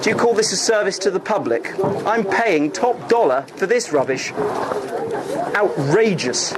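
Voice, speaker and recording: A man rants at a lecturer, hurling accusations in an angry, slightly high-pitched voice. The amateur recording carries clear background noise. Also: expressive, a little slow. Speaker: student complaining about his grade. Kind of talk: heated confrontation in a lecture.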